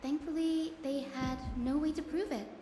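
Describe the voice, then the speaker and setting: An actress voicing a young woman companion in a video game, recounting events in an articulate, measured storytelling way. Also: sits high, steady tone, warm tone, natural voice. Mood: recounting, composed. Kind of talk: scripted game dialogue, storytelling narration. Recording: echoey reverb with music behind.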